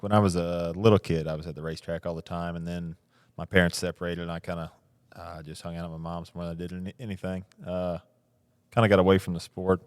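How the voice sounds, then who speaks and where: A man's voice: deep voice, darkish tone, no room sound, clean studio sound. A young man, a race car driver, answering interview questions in a laid-back, hesitant way with many fillers.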